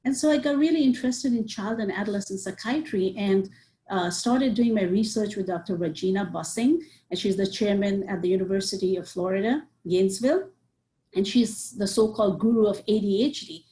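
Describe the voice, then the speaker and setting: A mellow, warm-toned woman. She answers interview questions earnestly, stacking points one after another and leaning on "you know" as she goes. Her pitch sits at an ordinary middle height. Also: fairly quick, poor audio. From Nepal. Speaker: psychiatrist guest.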